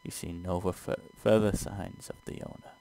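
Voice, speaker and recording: Low-voiced man, stumbling game reader. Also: very animated, normal speed, gravelly, dark tone. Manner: stumbling reader, profane asides, exasperated. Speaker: gaming show co-host playing and reading aloud. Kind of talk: casual let's play, reading game text aloud.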